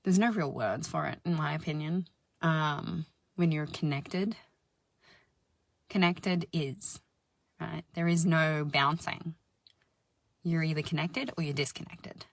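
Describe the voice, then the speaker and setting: A woman with a deep, husky voice giving a slow, soothing spiritual self-help talk, sometimes dropping into soft whispers. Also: dry recording. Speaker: spiritual healer and channel host.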